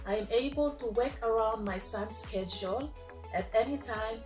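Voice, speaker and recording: A woman's voice: a little high, normal speed, darkish tone, faint music behind. New woman, echoey room. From Papua New Guinea. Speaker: stay-at-home mom and online entrepreneur. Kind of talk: promotional testimonial. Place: room at home.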